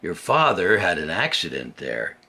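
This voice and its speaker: An older man voicing an old mother rabbit in a storybook, gently stern and cautioning, with slow, deliberate words in a husky voice. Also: sits low, huge pitch swings, pitched below the performer's own voice, exaggerated voice.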